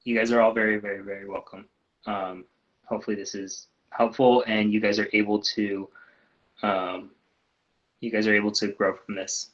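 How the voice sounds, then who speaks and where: A man with a somewhat low voice answers questions on a casual live stream. He talks in a relaxed, loose way, full of ums and restarts. His sentences often fade off softly at the end. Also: normal speed, muffled recording. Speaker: doctor hosting a live Q&A.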